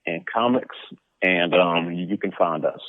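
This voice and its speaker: A man's voice: sits low, unhurried, dry recording. A man being interviewed as a guest, chatting casually and informally, often repeating words and phrases as he goes. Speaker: interview guest, comic book publisher. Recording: muffled phone-like line.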